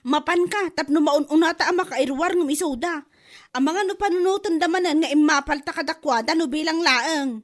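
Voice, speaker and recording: A woman's voice: high-pitched, fairly quick, natural voice. A woman playing an eager, scheming village wife with an Ilocano accent in a comedy drama.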